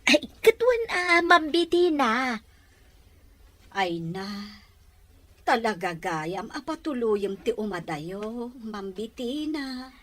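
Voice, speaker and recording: A woman's voice: a little high, sing-song, takes it slowly, pauses often, fairly bright tone, heavily acted. A woman playing an older, heavy-hearted motherly figure in a Filipino audio drama. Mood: sad, concerned.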